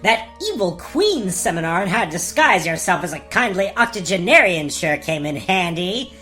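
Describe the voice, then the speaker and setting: A woman's voice: on the low side, animated, moderate pace, pushed and bright, faint music behind, pitched below the performer's own voice. A woman voicing a gleefully evil, scheming fairy in a comedic fairy-tale radio parody, gloating as she talks. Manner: gloating, villainous.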